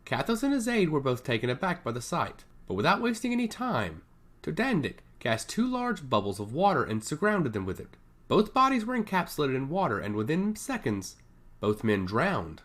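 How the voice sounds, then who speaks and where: A man reads a fantasy story aloud with great animation. His pitch swoops high and low through every sentence, which gives the narration a sing-song lilt. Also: wildly swooping pitch, moderate pace, a bit bright.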